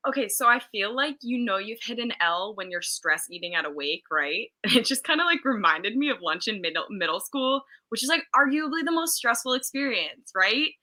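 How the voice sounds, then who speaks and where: Woman comic guest, very bright tone, animated and self-deprecating. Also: on the high side, a little fast, few pauses. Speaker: comedian guest. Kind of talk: casual interview chat.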